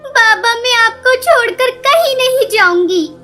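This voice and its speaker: A woman's voice: extremely high pitch, lively intonation, unhurried, sharp tone, exaggerated voice. A woman voicing a small, sad young girl bird who pleads tearfully. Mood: pleading.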